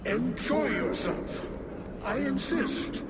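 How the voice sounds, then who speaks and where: An actor playing a proud, boastful old giant lord in a video game, grandiose and commanding. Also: male voice, very high voice, expressive, takes it slowly, creaky, very noisy, exaggerated voice. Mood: firm. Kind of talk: video game character acting.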